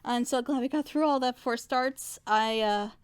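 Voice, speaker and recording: A woman's voice: fairly high pitch, normal speed, clear, resonant tone. A woman hosting a casual, chatty online group reaction show. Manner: nervous. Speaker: animator. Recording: music playing behind the voice.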